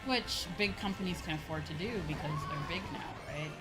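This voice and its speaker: Lower-voiced woman streamer over game music. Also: a little fast, low-quality recording. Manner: opinionated, frequent tag questions, some swearing. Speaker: VTuber streamer. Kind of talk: casual livestream chat while gaming. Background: video game music.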